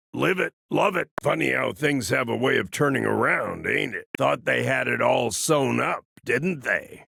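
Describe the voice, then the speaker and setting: A man voicing a gruff, cocky, aging cyborg fighter in a video game, a folksy wisecracking old-timer with a gravelly rasp and a drawling delivery. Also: medium pitch, fairly bright tone, dry recording, studio quality, full character voice. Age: older. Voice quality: gravelly, raspy. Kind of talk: video game character voice lines.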